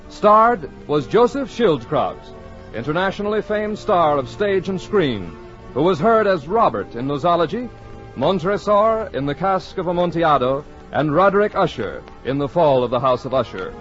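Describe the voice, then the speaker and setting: An American man announcing in a formal, scripted 1940s radio style with measured enunciation. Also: fairly high pitch. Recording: dull vintage 1940s radio broadcast recording.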